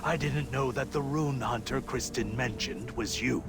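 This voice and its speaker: A man playing a grave, courteous ally in a game cutscene, speaking in a measured, earnest, formal way. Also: mid-range voice, close to an everyday voice. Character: grave, courteous male ally explaining dark events. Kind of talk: scripted game cutscene dialogue. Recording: long reverb, loud music behind.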